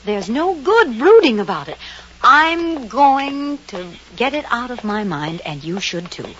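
Self-possessed headstrong woman, fairly high, very varied intonation, firm, dismissive, vintage radio drama, muffled sound. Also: very animated, measured pace, a bit bright. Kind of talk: 1940s-50s radio drama acting. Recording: muffled, noisy old radio recording.

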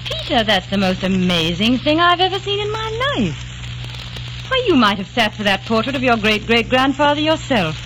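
A sensible, caring fiancée. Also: female voice, fairly high pitch, big pitch swings, moderate pace. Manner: soothing, practical, affectionate. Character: sensible young woman, fiancée, gently caring. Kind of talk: 1940s radio drama acting. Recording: noisy old radio recording.

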